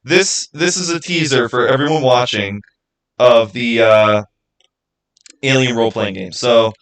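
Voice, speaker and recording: A man hosting a livestream, answering viewers in casual, halting speech and thinking out loud. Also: mid-range voice. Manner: halting, thinking aloud with repeated phrases. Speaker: livestream host.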